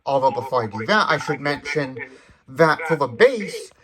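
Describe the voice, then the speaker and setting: A man's voice: medium pitch, sing-song, moderate pace, crowd noise. A man hosting a hands-on tech demonstration, explaining features step by step in a detailed, methodical way. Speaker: tech demonstration video host. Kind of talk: hands-on product demonstration commentary.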